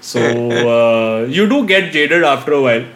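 A man's voice: medium pitch, unhurried, a crowd in the background. A man with an Indian English accent, a stand-up comedian chatting casually on a podcast and mixing in Hindi as he tells stories from touring. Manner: relaxed storytelling, switching between English and Hindi. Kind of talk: casual chat.

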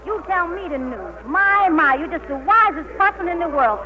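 A woman's voice: very high-pitched, expressive, normal speed. An actress playing a scolding, sarcastic woman angel in a 1940s radio play. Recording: dull old radio broadcast.

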